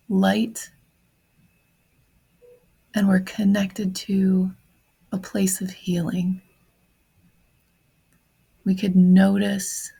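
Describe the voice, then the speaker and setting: A woman leading a guided meditation in a soft, breathy voice, speaking gently in short phrases with long pauses. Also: medium pitch, rough recording. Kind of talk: guided meditation on grief, slow and reflective.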